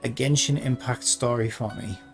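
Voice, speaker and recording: A man's voice: mid-range voice, moderate pace, vocal fry, faint music behind. A young man giving casual comic commentary as a streamer, reading out a script and riffing on it.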